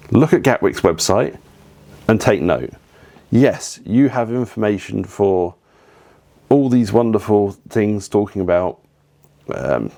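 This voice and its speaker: A man talks through family travel tips in an easy, chatty way. His voice sits fairly low. He drifts into opinionated asides and pauses on plenty of ums. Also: a little mellow. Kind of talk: casual advice talk to camera.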